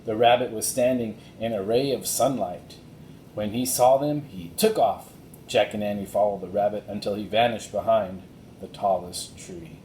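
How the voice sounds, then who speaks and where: A man's voice: fairly even intonation, normal speed, warm tone. A man narrating a children's storybook as a reader, recorded in an echoey room. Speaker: audiobook reader. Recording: roomy echo, faint rumbling noise.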